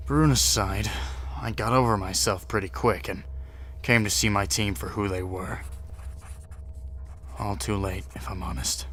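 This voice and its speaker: A man's voice: fairly low pitch, fairly bright tone, slight background noise. A man playing a serious, world-weary agent, narrating his case in dry, noir-like audio drama style.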